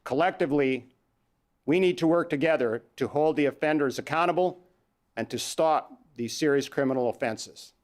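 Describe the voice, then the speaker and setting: Canadian man, police chief, formal press statement, slightly high voice, measured delivery. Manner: measured, reads numbers out slowly.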